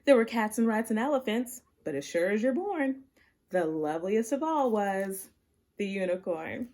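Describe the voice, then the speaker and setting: A woman reads aloud for children in the manner of a teacher. Her voice sits at a medium pitch and moves up and down in an animated, expressive way. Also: moderate pace.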